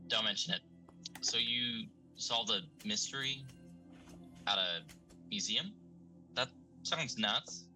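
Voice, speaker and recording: An actor playing a laid-back, sardonic young stoner in an audio drama, casual and dry. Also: male voice, mid-range voice, slow pace, pauses often, bright, forceful tone, soft background music, poor audio.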